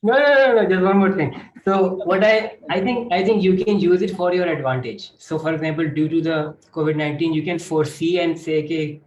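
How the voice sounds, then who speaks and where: A man's voice: fairly high pitch, a little fast, few pauses, muffled recording. A man giving informal advice as a panelist. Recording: echoey room, faint background noise.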